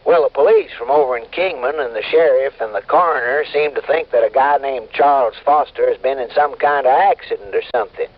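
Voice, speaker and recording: An actor playing a chummy, eager fishing resort manager on a phone call in an old American radio drama, hearty and familiar. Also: male voice, mid-range voice, a little fast, hardly any pauses, lightly acted. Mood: intrigued. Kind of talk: mid-century American radio drama acting. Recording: muffled vintage radio recording of phone-call scene.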